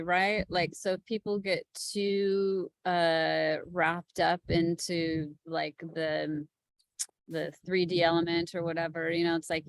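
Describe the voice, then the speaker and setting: A woman's voice: lowish voice, no room sound. A woman speaking in a conversational, plain-spoken way as a producer on an informal panel. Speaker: executive producer, panel guest.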